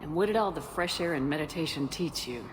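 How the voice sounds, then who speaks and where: A woman's voice: sits low, brisk, lightly acted. Resolute woman commander acted, music behind. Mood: dry, wistful. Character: resolute, battle-hardened female military commander. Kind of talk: dramatic video game acting.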